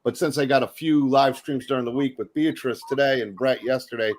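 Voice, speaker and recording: Mid-pitched man co-hosting a livestream. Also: steady tone, fairly quick, slight background noise. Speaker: co-host of a horror livestream. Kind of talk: casual chat.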